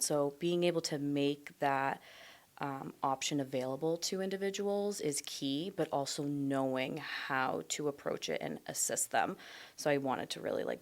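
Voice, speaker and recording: A woman whose first language is Portuguese, speaking as a clinician guest in a casual podcast interview, relaxed and friendly with frequent fillers. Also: sits low.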